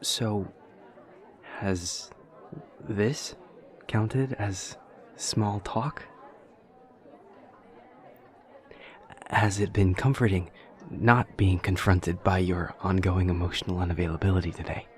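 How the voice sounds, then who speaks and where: An awkward young demon student acted out by a man in an audio roleplay, with a low voice. He talks in stilted, formal phrases, taking everything literally with earnest curiosity. A faint crowd murmur sits behind him. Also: steady tone, takes it slowly. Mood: careful, earnest. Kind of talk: roleplay audio drama acting.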